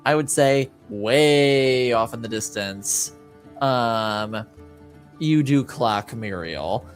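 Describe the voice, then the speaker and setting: A man narrating as game master in a casual, halting way, thinking aloud as he goes. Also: medium pitch, soft background music. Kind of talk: improvised tabletop game narration and casual chat.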